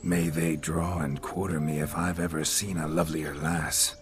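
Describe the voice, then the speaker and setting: An actor playing a monster hunter possessed by a pompous rakish ghost in a video game, speaking in a florid, courtly, teasing manner. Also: male voice, very deep voice, level tone, normal speed. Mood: smug, admiring. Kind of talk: video game character acting. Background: music.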